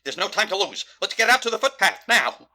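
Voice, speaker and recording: Comic drama ghost, male actor: anxious colonial fellow, wailing and melodramatic, bright and swooping. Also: high voice, big pitch swings, brisk, full character voice. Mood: alarmed. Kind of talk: comic audio drama acting.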